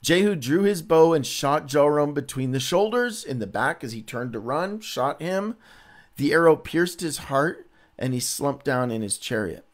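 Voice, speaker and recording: A man's voice: fairly high pitch, expressive, moderate pace. A man reading the Bible aloud as a teacher, dramatizing the quotes and adding informal commentary. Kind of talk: animated Bible read-along with casual commentary.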